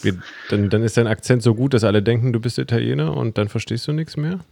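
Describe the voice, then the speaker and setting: A man co-hosting a podcast, casual and joking with quick interjections. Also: mid-range voice, gravelly, a little mellow, dry recording, studio quality. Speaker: podcast co-host.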